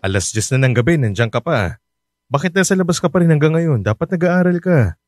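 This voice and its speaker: A man's voice: on the high side, expressive, brisk, dry recording, natural voice. A man playing a strict, worried father on a long-distance call, scolding in a radio drama. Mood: stern. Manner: scolding, stern, exasperated.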